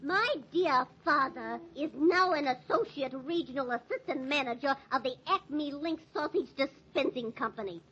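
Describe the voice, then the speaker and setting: A woman's voice: sits high, moderate pace, few pauses, a bit bright. A woman playing a snooty, huffy working-class girl putting on refined airs in an old-time radio comedy. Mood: haughty. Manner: haughty, mock-refined. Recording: muffled, noisy old radio recording.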